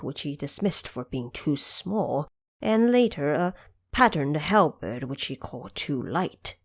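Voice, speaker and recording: A woman's voice: low voice, no room sound, clean studio sound, heavily acted. A woman voicing a timid, fearful old male dragon king who pleads and worries, in an audio drama.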